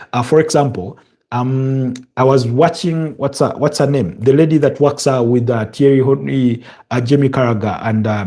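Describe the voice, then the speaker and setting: A man with a Ugandan accent hosting a live football stream, chatting in a halting way and answering viewer comments. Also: medium pitch, warm tone. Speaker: football show host.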